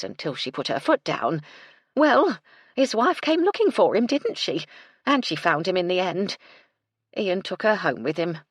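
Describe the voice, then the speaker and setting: A woman's voice: on the low side, husky, no room sound, clean studio sound. A woman doing a flustered housekeeper. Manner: flustered, homely, deferential. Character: bustling older female housekeeper, fretful.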